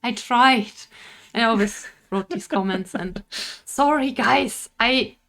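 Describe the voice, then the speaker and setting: A woman's voice: fairly high pitch, takes it slowly, creaky, a bit bright. A young woman with a Bavarian German accent, an indie game developer talking casually to camera, laughing often and searching for words.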